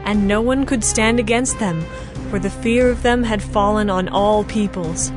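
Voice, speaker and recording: A woman's voice: medium pitch, moderate pace. A woman giving a formal, scripted narration of dramatized scripture as the storyteller. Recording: music bed behind the voice.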